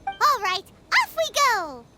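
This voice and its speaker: Squeaky little fairy sidekick for a game, super excitable, drawn-out words, loud music behind. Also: female voice, exaggerated voice. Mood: eager. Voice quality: squeaky, childlike. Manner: excitable, exclaiming. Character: small excitable childlike fairy companion girl. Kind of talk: video game voice acting.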